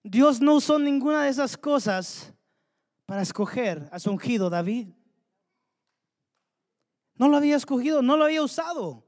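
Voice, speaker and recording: A man's voice: very high voice, expressive, normal speed, pauses often. Guy preaching a sermon, fired up, lots of questions to the church, echoey hall. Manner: fervent, rhetorical questions to the congregation. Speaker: pastor. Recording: long hall reverb.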